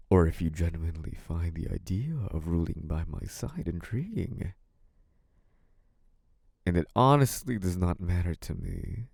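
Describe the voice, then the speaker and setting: An actor playing a possessive, scheming young mafia boss in a theatrical, condescending, menacing monologue. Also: male voice, low-pitched, gravelly, no room sound, studio quality, heavily acted. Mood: smug, coaxing. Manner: menacing, condescending, theatrically drawn-out delivery with dark chuckles. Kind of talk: theatrical scripted audio roleplay monologue.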